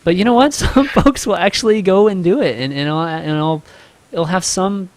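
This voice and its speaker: A man hosting a casual podcast, relaxed and affirming. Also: high voice, moderate pace, no room sound. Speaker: podcast host.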